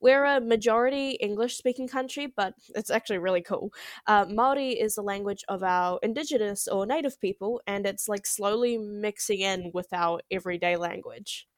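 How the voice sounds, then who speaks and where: A woman's voice: medium pitch, normal speed, dry recording, clean studio sound. A young woman hosting a student podcast, chatting casually with lots of "um" and "like". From New Zealand. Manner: friendly, chatty, filler-heavy. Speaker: podcast host, interviewer.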